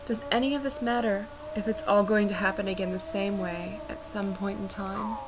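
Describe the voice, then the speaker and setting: Woman, spoken-word poetry voice-over, measured, reflective, clear background noise. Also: mid-range voice, fairly even intonation. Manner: measured, reflective, unhurried delivery. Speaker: performer narrating a dance video piece. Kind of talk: poetic spoken-word narration.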